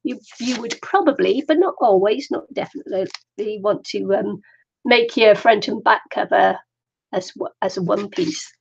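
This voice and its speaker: A woman's voice: medium pitch, normal speed, gravelly, slightly rough, muffled recording. An older woman from the Welsh borders, chatty and self-deprecating, rambling through a casual craft tutorial. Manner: chatty, rambling, laughs often. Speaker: crafter streaming a zine tutorial.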